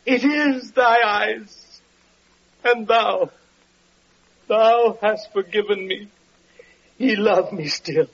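High, wailing, anguished sinner. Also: male voice, fairly bright tone, exaggerated voice. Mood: anguished awe. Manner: wailing, drawn-out archaic speech. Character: anguished guilt-stricken male sinner begging his master's forgiveness. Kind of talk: 1940s American radio drama acting. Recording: muffled old radio transcription, rough sound.

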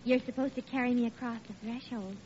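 An actress playing a sweet, anxious, devoted newlywed bride, fretful and tender, in old radio comedy style. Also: female voice, on the high side, steady tone, quick pace, close to an everyday voice. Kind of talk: 1940s radio comedy acting. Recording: muffled old radio broadcast, faint noise.

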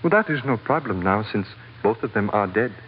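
Pompous self-pitying sultan, swooping voice. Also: male voice, fairly low pitch, animated, husky. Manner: formal, pompous, deliberate phrasing. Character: self-pitying, callous sultan, grandly mournful. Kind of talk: 1940s radio acting. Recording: muffled old radio recording, hollow reverb, hiss.

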